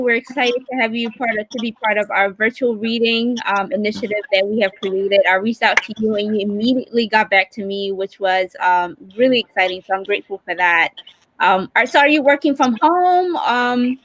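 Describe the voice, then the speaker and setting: A woman hosting a live online stream, talking informally and haltingly as she searches for words. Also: fairly high pitch, a bit bright, low-quality recording. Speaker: livestream host. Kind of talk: unscripted live hosting, casual chat. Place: remote video call.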